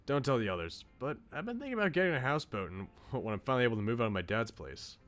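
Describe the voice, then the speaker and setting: A man's voice: medium pitch, big pitch swings, rapid-fire, fairly bright tone, faint music behind. A man voicing a laid-back, loyal young guy in a visual novel, casual and earnest with slangy talk. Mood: upbeat.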